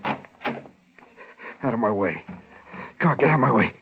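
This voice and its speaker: An actor playing a wounded, enraged killer with a gravelly, menacing, demanding delivery in a 1950s radio thriller. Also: male voice, medium pitch, lively intonation, slow. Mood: menacing, desperate. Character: wounded, enraged killer hunting his victim. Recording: muffled, noisy 1950s radio recording.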